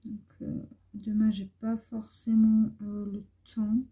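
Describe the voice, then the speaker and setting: A hoarse woman vlogger chatting casually and meanderingly to her viewers into a phone at home. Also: medium pitch, monotone, slow pace, narrow, tinny audio. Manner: rambling, meandering talk.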